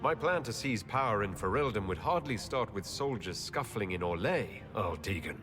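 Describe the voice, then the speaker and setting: A resolute male leader from a fantasy game , acted by a man, speaking low with a lively, varied delivery. He is commanding with a wry edge, and music plays loudly behind him. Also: normal speed, natural voice. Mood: dry. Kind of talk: video game cutscene voice acting.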